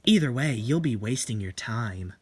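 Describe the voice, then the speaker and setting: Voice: mid-range voice, lively intonation, moderate pace, a little mellow, husky, pitched below the performer's own voice. A performer voicing a snobby, beautiful young woman in a horror story, haughty and theatrical, drawing out words. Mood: smug, coy.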